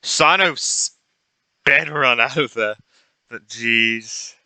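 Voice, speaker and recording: A man's voice: medium pitch, extremely slow, bright, forceful tone, smooth, no room sound, poor audio. A man giving casual let's play reactions to a game, in short exclamations. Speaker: gaming streamer.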